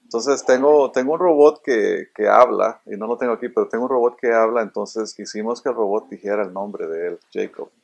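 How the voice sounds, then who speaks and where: Low gravelly man from Honduras. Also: a little fast. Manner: earnest, repeats words while searching for them. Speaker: robotics engineer, interview guest. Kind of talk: casual interview answers. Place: indoor room full of robots.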